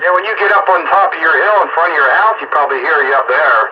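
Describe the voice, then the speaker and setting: A man's voice: fairly high pitch, very fast. An older man chatting casually and folksily over the radio, rambling like a hobbyist operator. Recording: noisy, muffled two-way radio transmission.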